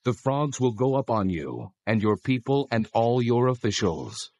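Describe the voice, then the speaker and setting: A man voicing a stern, solemn God who proclaims commands deliberately in a dramatized scripture reading. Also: mid-range voice, sing-song, darkish tone, no echo, dull audio.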